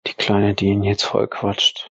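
A man's voice: lowish voice, monotone, unhurried, creaky, a bit bright, breathy edge, no echo, low-quality recording. A man narrating his character's actions in an improvised role-play audio drama.

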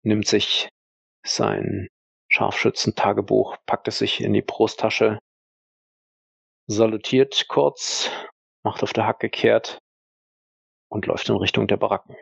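A man's voice: on the low side, level tone, takes it slowly, vocal fry, long pauses, slightly rough, no room sound, muffled recording. A man narrating his character's actions in an improvised role-play audio drama.

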